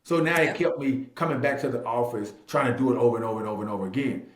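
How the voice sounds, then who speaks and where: An echoey room carries the voice of a thirty-year-old man with a middle-range pitch. He tells personal stories in a casual interview style. He leans on repeated phrases for emphasis and slips into quoted dialogue. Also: very fast. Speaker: real estate agent and investor being interviewed. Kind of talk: animated personal storytelling in casual talk.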